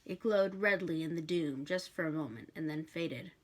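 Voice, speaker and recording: A woman narrating fiction aloud in an expressive storytelling style. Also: sits low, moderate pace. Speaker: bookshop reader.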